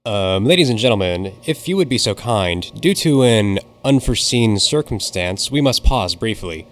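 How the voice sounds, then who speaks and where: A formal stage announcer, played by a man in an audio drama. He has a lowish voice and addresses the house with courtesy. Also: lively intonation, normal speed, natural voice. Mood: formal, apologetic. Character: formal male theater announcer.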